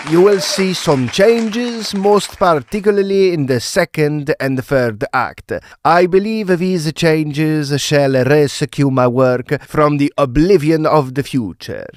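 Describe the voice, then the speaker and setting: An actor playing a passionate, grand opera composer, speaking theatrically in a period audio drama. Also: male voice, a little high. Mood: solemn, impassioned. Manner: theatrical, deliberate, declamatory.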